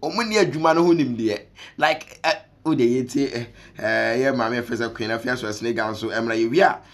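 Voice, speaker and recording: A man's voice: mid-range voice, a little fast, a bit bright. A young man with a Ghanaian accent, hosting reaction commentary and mixing Twi with English in a conversational way. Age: young adult. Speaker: online show host. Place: small room indoors.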